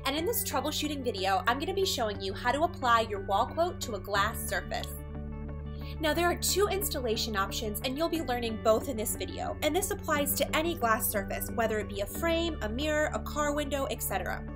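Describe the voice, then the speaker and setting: A woman presenting a scripted how-to tutorial, explaining each step in a clear, orderly way. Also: high voice, lively intonation, brisk, music in the background. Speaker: tutorial presenter for a decor company. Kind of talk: scripted instructional narration.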